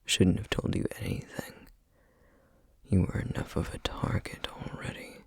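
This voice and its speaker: A man playing a solemn, guarded, protective flame demon in a whispered ASMR roleplay, speaking formally and hesitantly with a breathy, gravelly voice. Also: darkish tone, no room sound, clean studio sound, natural voice. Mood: reluctant, weary. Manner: slow, measured, formal, hesitant.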